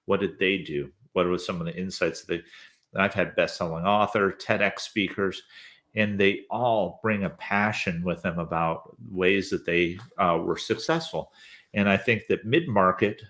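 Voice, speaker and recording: A man's voice: sits low, measured pace, a bit bright. A man in his fifties, a guest telling his career story in an easygoing, rambling way with lots of hesitant fillers. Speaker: podcast host, interview guest.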